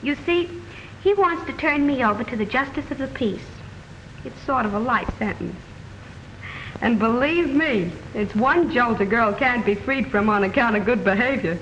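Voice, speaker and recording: An actress playing a sharp-tongued young woman engaged to a detective, in 1940s radio drama style. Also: fairly high pitch, expressive, moderate pace, a little hiss or hum. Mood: sassy. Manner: sassy, dry. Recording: dull old radio recording.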